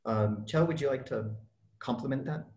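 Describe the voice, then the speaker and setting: A man who directs an education organization, speaking in a thoughtful, measured way and often restarting phrases. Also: mid-range voice, a little mellow. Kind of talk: reflective discussion. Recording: echoey room.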